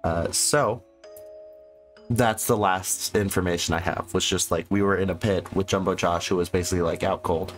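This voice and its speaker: A sick, hoarse man casually commenting on a game he plays, rambling and sarcastic as he reads the game text aloud. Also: low-pitched, steady tone, moderate pace, faint music behind. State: sick, losing his voice. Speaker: gameplay commentator. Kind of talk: casual let's-play commentary.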